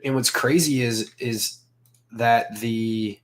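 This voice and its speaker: A hoarse man from the southern United States, hosting a casual livestream and chatting with viewers. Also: mid-range voice, fairly even intonation, slow pace. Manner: rambling, filler-heavy, greets chat viewers. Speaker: crypto livestream host.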